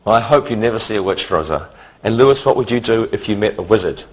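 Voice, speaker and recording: A man teaching a scripted English lesson for beginners in a deliberate, instructional way. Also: fairly low pitch, expressive, low-quality recording.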